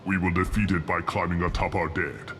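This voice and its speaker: Deep-voiced, arrogant old alien warlord. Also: male voice, steady tone, fairly bright tone, slight background noise. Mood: grand contempt. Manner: grandiose, contemptuous, deliberate. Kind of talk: video game dramatic acting.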